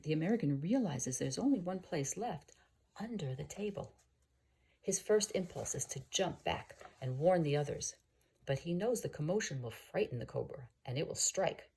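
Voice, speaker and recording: A woman reads aloud like a teacher, with a soft-edged, mellow and somewhat husky voice on the lower side. Her delivery is expressive and explanatory, with lively rises and falls as she takes listeners through a scripted story. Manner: expressive, explanatory, pausing to gloss words. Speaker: teacher reading aloud for students.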